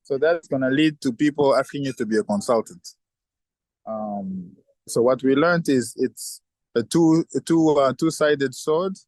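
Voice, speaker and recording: A man speaking in a middle pitch, explaining things at a steady, thoughtful pace and often circling back to restart a phrase. His voice sounds dry and close, with no room echo behind it. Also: measured pace. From southern Africa. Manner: thoughtful, frequent restarts and fillers. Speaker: chemical engineer and company founder. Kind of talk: interview answers.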